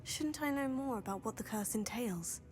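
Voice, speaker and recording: A woman voicing a calm, diplomatic young female student. Her voice is a little high, and she speaks in a reasoned, measured way over soft game music. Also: natural voice.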